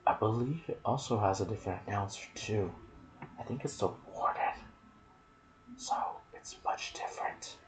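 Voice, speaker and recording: A soft-spoken man hosting an ASMR video about game lore, with a hushed delivery that slips into whispers. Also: on the low side, moderate pace, a little hiss or hum, low-quality recording. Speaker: video host and gaming content creator.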